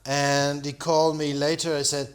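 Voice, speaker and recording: A man tells a long story over lunch, rambling and animated, restarting his sentences and tossing in "you know" often. He is a champagne producer, and his voice sits fairly high for a man. The talk is recorded in a restaurant. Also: normal speed. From France. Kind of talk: storytelling in casual conversation.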